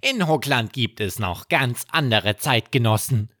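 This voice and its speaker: A man's voice: mid-range voice, lively intonation, measured pace, fairly bright tone, slight background noise. A man voicing a cheeky, worldly-wise toy rabbit in a children's story, teasing and humming between remarks. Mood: teasing, knowing.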